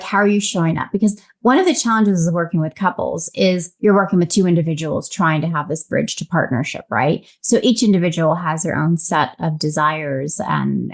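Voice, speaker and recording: A woman relationship coach explaining things as a podcast guest in a casual, reflective, conversational way. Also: fairly low pitch. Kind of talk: casual explanatory interview talk.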